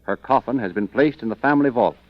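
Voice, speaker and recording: A man narrating an old-time radio mystery from a script, dramatic and portentous, with weighty pauses. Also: medium pitch, no echo. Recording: muffled old radio recording. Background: prominent music.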